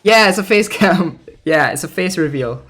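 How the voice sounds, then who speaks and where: Male game streamer, voice a bit high, casual livestream commentary, hesitant think-aloud delivery, music underneath. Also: expressive, normal speed, fairly bright tone, slightly rough.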